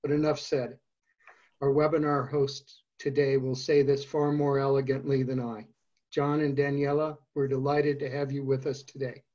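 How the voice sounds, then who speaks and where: Flat-voiced man hosting a webinar. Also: medium pitch, moderate pace. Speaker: webinar host. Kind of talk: read introduction. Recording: muffled video call audio.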